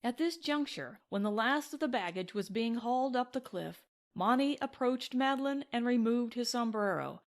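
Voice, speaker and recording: A woman's voice: on the high side, lively intonation, moderate pace. A woman reading scripted narration from a western novel as an audiobook. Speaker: audiobook reader.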